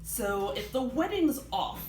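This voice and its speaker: A friendly, puzzled pub bartender read by a woman asks his questions in a hesitant, drawn-out way. It comes from a live storybook reading in a roomy space with background noise. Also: a little high, sing-song, pitched above the performer's own voice. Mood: bewildered. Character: bemused friendly male Irish pub bartender.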